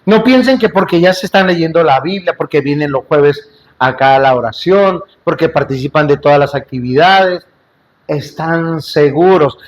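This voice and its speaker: A man with a fairly high voice preaching a sermon in a church. He drives each point home with repeated phrases and rhetorical questions to the congregation. He slips in small jokes and asides between them. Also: normal speed, low-quality recording. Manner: emphatic, repetitive rhetorical preaching with questions to listeners.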